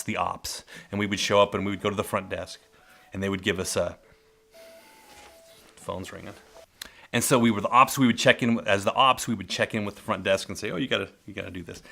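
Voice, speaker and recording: A middle-aged man telling old work stories in a casual, rambling way, often correcting himself mid-sentence, with a loud voice. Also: lowish voice, creaky. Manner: rambling, self-correcting, warmly nostalgic storyteller. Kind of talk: casual spoken memoir. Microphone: close mic.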